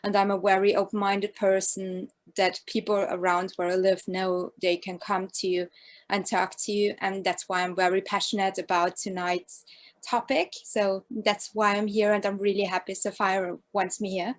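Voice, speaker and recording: A woman's voice: mid-range voice, flat delivery, moderate pace. A woman from Austria, introducing herself on a panel with hesitant repeats. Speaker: panelist, show producer.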